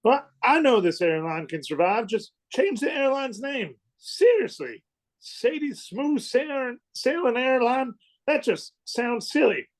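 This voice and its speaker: A man's voice: very high voice, lively intonation, measured pace, low-quality recording. A man playing an earnest, insistent airplane mechanic in a radio play, with a stumbling delivery. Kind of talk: old-time radio play acting.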